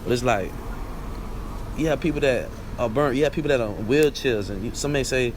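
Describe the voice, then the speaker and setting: A man's voice: mid-range voice, lively intonation, brisk, slight background noise. A young man with a Barbadian accent hosting a rambling, conversational solo talk and often asking "you know what I'm saying?". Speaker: vlog host. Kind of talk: casual solo monologue.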